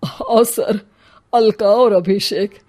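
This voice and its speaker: A woman's voice: mid-range voice, fairly even intonation, slow, a little mellow. A woman voicing a sorrowful elderly village headman, plaintive and bereaved, in a Hindi cartoon story. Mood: subdued recollection.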